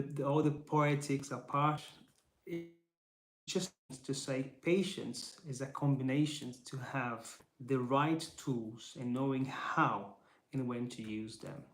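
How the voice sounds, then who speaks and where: Male Bible teacher, Portuguese native speaker, unhurried and reflective, mid-pitched, roomy echo. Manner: thoughtful, searching for words, with restarts.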